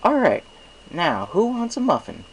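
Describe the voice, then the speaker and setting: A man's voice: high voice, wildly swooping pitch, measured pace, creaky, a little hiss or hum, voice pushed up, exaggerated voice, rough recording. A man voicing a genial, easygoing stallion shopkeeper, a cheery and affable husband in a storybook reading.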